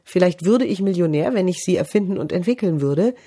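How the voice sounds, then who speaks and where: A middle-aged woman, a vocal coach, giving advice on a podcast and swooping high and low in pitch to show how voices sound. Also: lively intonation, fairly quick, dark tone, slightly rough.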